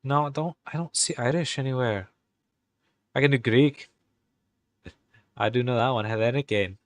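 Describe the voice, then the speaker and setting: A man's voice: mid-range voice, normal speed, dry recording, studio quality. A young man live-streaming in a put-on Irish accent that slips now and then, chatting casually and muttering to himself while he types game commands.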